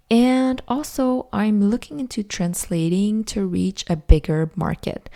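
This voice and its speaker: A woman's voice: lowish voice, vocal fry, mellow. A young adult woman with a French Canadian accent, a native French speaker, hosting her own podcast in an earnest, chatty way from her home office, with many "like" fillers. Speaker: podcast host. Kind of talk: solo podcast monologue.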